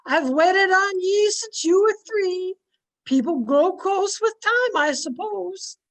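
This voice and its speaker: High-pitched, devoted old Scots servant woman, played by an actress, mysterious and firm, for radio drama. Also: expressive, slow, heavily acted, low-quality recording. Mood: devoted, insistent. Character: devoted old Scottish maidservant, solemn and mysterious. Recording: home recording over video call.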